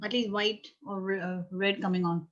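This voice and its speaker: A woman's voice: mid-range voice, steady tone, normal speed. A woman acting as technical coordinator on a video call, giving practical instructions and checks. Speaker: online meeting technical coordinator. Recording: slightly dull video-call audio.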